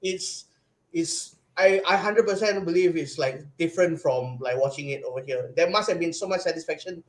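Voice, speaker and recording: A young man with a Singaporean accent, excitedly recounting a live event as a podcast guest, with lots of restarts and fillers. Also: on the high side, moderate pace. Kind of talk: casual chat.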